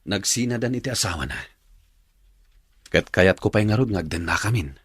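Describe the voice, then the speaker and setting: A man's voice: on the low side, lively intonation, normal speed, husky, dry recording, clean studio sound. A man with an Ilocano accent acting a lovestruck, defensive man in a radio drama. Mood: puzzled, defensive. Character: lovestruck, defensive man in love with a separated woman.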